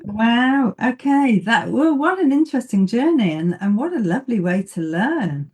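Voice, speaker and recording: A woman hosting an interview show, who introduces her guest and asks questions with warm enthusiasm. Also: on the high side, big pitch swings, moderate pace. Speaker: podcast host.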